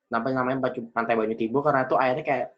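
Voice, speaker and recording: A man of about twenty, hosting a casual podcast chat, chatty and quick to laugh. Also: medium pitch, steady tone. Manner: chatty, laughs often, loose slangy talk. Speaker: podcast host. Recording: dull lo-fi recording.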